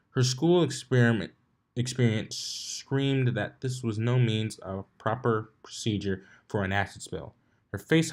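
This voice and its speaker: A storyteller reading fan fiction aloud as scripted narration, with occasional stumbles over words. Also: mid-range voice.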